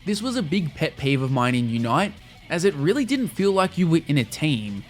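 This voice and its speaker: Male video essayist, fairly high voice, casual and wry scripted review read over music. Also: sing-song, moderate pace.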